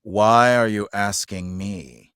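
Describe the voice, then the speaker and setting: A man's voice: on the low side, steady tone, fairly bright tone, clear, resonant tone, no room sound, studio quality, pitched below the performer's own voice. A man voicing an arrogant college professor, condescending and weary of students, drawing out his words. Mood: condescending, irritated. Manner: condescending, drawn-out, weary delivery.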